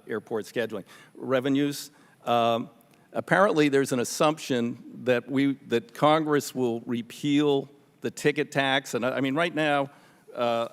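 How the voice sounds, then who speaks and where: A man with an American accent and a mid-range voice speaks off the cuff at a legislative hearing. He is skeptical and pressing, stopping and starting as he argues. The large room adds a long reverberation. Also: medium pitch. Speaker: legislator questioning witnesses at a hearing.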